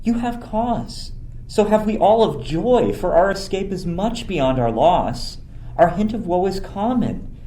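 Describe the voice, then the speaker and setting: Actor as hopeful counsellor courtier, very varied intonation, mellow, theatrical, echoey room. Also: medium pitch, sing-song. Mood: consoling, hopeful. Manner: measured, persuasive, theatrical. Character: optimistic, consoling courtier to a shipwrecked queen. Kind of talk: Shakespearean radio play acting. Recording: roomy echo, faint noise.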